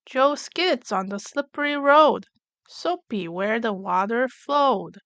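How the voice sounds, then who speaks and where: A woman reading a rhyming picture book aloud for young children, in an expressive, clearly enunciated storytelling manner. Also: on the high side, slow, dry recording. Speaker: children's story reader, channel host.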